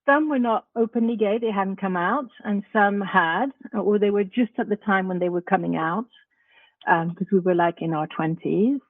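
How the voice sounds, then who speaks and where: A woman's voice: medium pitch, normal speed, dry recording. Woman on a tinny phone-like line. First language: not English. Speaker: parent guest. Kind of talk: podcast discussion.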